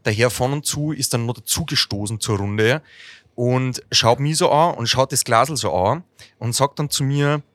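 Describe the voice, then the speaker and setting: A man's voice: medium pitch, moderate pace, fairly bright tone, dry recording. A man co-hosting a casual podcast, telling anecdotes in a teasing, pedantic way. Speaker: podcast co-host. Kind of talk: casual chat, storytelling.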